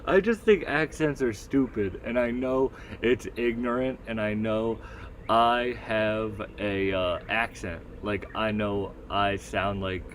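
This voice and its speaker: Rambling low-voiced streamer guy. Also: takes it slowly, slight background noise. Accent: Northeastern US. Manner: rambling, profane, reads chat aloud. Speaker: livestream host. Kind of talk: casual solo livestream chat.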